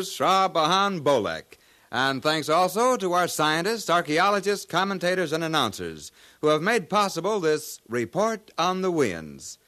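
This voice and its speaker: A man's voice: a little high, fairly bright tone. A man playing a formal, ceremonious radio announcer of the far future in a 1950s radio drama.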